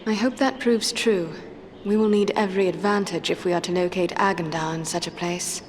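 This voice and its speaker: A woman's voice: lowish voice, fairly even intonation, brisk, a little hiss or hum, lightly acted. An actress playing a resolute, earnest elf woman in a fantasy game, with formal, composed phrasing. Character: resolute young female elf adventurer, earnest and grave. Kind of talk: scripted fantasy game dialogue.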